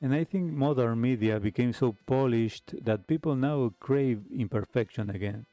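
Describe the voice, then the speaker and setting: A man hosting a retro radio talk show, speaking in a reflective, halting monologue to his listeners. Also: mid-range voice, mellow, no echo. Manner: hesitant, halting phrasing with pauses. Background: background music.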